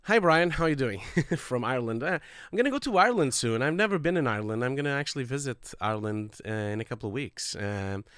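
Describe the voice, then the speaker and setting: A congested man with a nasal voice talks chattily to his viewers on a livestream. His tone is smooth and clear, and a close microphone picks up his breathing. The sound is dry and close, with no room around it. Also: mid-range voice, expressive, brisk, fairly bright tone, no echo. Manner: chatty and upbeat, addressing viewers directly. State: congested from a cold, audible breathing. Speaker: host of a livestreamed call-in show. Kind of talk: casual livestream chat. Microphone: close streaming microphone.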